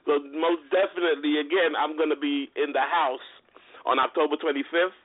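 A man's voice: on the high side, fairly even intonation, a little fast, no echo. Phone-like male radio host. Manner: slangy, conversational. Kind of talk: casual talk radio interview. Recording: phone-like internet radio with background music.

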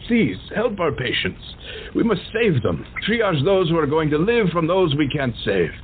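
A man's voice: a little high, sing-song, normal speed, narrow, tinny audio, slight background noise. A man playing an urgent, commanding hospital doctor. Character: soot-covered older male hospital doctor, urgent and commanding. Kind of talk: audio drama acting.

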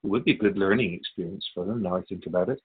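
A man playing a wise, wry otherworldly spirit guide in a radio drama interview, measured and philosophical. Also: deep voice, slightly rough, narrow, tinny audio. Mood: wryly amused. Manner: measured, philosophical, gently admonishing.

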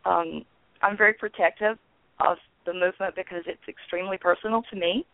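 A woman in her sixties, a guest on a radio interview, talking casually and haltingly with many ums and restarts. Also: fairly low pitch, steady tone, no echo. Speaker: guest, founder of a peace network. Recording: tinny phone line.

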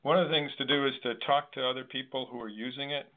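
A man moderating a discussion in a halting, informal, conversational way. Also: mid-range voice, steady tone. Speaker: host and moderator. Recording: thin phone-line audio.

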